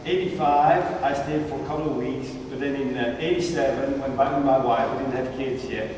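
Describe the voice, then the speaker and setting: A man in his fifties from Belgium, a photographer giving a casual gallery talk with halting restarts and self-corrections. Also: medium pitch, steady tone. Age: 50s. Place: gallery hall. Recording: echoey hall from far off, noisy.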